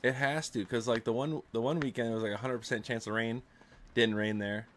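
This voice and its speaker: A man's voice: medium pitch, fairly even intonation, fairly quick, a bit bright. A man hosting a casual chat show, stuffed up from allergies and talking loosely with lots of fillers. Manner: loose, filler-heavy talk. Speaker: podcast host. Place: home room with loud rain outside. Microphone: desktop microphone.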